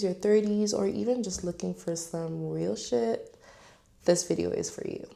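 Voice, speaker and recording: Gravelly-voiced chatty woman in her twenties. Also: on the low side, dark tone. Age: 20s. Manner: chatty, filler-heavy, candid. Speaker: vlogger. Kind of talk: casual talk to camera.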